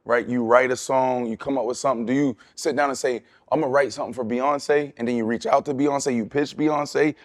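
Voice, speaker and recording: A man's voice: mid-range voice, steady tone, fairly quick, hardly any pauses. A man hosting a casual talk show, teasing his guest and asking questions. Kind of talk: casual podcast chat.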